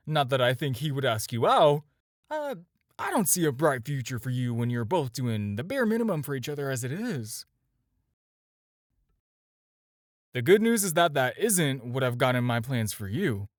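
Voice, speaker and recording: A man's voice: medium pitch, big pitch swings, moderate pace, no echo, clean studio sound, natural voice. A man acting a flirty, self-assured supervillain in an audio roleplay, talking to the listener in a teasing, casual, conversational way. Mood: teasing, earnest. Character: flirty, smooth, self-assured male supervillain courting his tailor.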